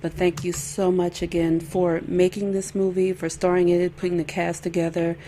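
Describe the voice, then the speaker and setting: A woman's voice: on the low side, flat delivery, moderate pace, dark tone. A woman in her thirties hosting a faith podcast, giving thanks and wrapping up an interview in an earnest way. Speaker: podcast host. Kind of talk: interview wrap-up and outro.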